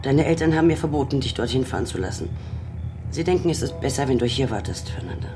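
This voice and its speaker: Motherly housekeeper, deep female voice, exhausted, worried, middle-aged, radio play. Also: animated, fast, music in the background. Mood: anxious, pleading. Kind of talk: naturalistic German radio drama acting.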